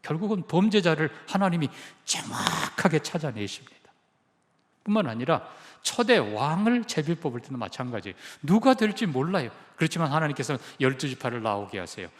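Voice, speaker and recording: A man's voice: a little high, sing-song, a little fast, long reverb tail. A male pastor preaching a sermon, emphatic and putting questions to the congregation. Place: church sanctuary.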